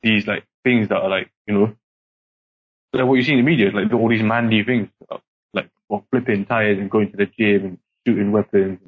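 A man's voice: lowish voice, fairly even intonation, brisk, husky. A young man who grew up in Singapore, talking casually with a British accent and rambling through many fillers. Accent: British English, code-switching from Singaporean. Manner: rambling, heavy use of filler words. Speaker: podcast guest. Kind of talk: casual chat. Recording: muffled lo-fi podcast audio.

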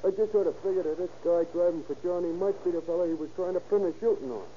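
A man's voice: high-pitched, steady tone, quick pace, dark tone, lightly acted. A man playing a slangy small-time crook informing on his pal in a 1930s radio crime drama. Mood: matter-of-fact. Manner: streetwise, slangy, colloquial. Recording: dull, noisy old radio recording.

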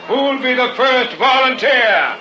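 Carnival professor, high pitch, smooth barker's patter, pushy, sinister, old radio horror, dulled broadcast sound. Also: male voice, animated, takes it slowly, heavily acted. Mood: showy, coaxing. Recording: muffled vintage radio broadcast with loud music.

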